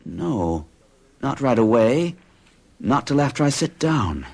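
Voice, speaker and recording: A hushed, dreamy hypnotized man. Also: medium pitch, sing-song, slow, vocal fry, full character voice. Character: uneasy man under hypnosis. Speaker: narrating lead character. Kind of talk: 1970s radio drama acting.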